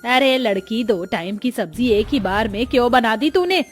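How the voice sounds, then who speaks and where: A woman voicing a sharp-tongued, exasperated poor mother who nags in a Hindi cartoon moral story. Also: on the high side, expressive, moderate pace, soft background music, natural voice. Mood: scolding. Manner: nagging, exasperated.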